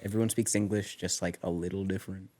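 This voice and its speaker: A man's voice: sits low, fairly even intonation, fast, mellow. A young man on a podcast as a guest, chatting in an easygoing way with many "like" fillers. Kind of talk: casual chat.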